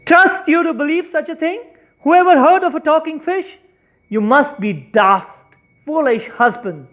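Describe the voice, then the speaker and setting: A grumbling storybook wife played by a narrator, scornful and sharp-tongued, with a raised pitch. She scolds her foolish husband in exasperated bursts. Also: unhurried.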